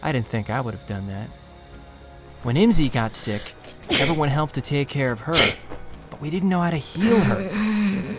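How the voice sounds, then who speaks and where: An actor playing a poor, anxious young man who narrates his own story in a quiet, melancholy, self-critical way. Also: medium pitch, lightly acted. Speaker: narrator and lead character. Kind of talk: first-person audio drama narration.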